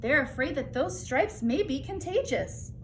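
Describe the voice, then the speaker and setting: A woman voicing an apologetic but firm male school principal from a storybook, formal and measured. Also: high-pitched, lively intonation, slight background noise, pitched above the performer's own voice.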